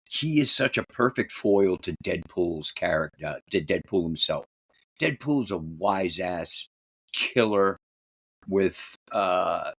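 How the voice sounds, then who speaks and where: A man's voice: low voice, husky, no echo. A man co-hosting a podcast, discussing films casually with a fan's enthusiasm. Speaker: podcast co-host.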